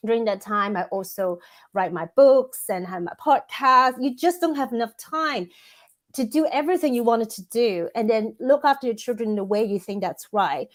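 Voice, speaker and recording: A woman, a coach giving thoughtful interview answers that she builds up in lists, with frequent restarts. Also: fairly high pitch. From Hong Kong. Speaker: guest, women's coach and author.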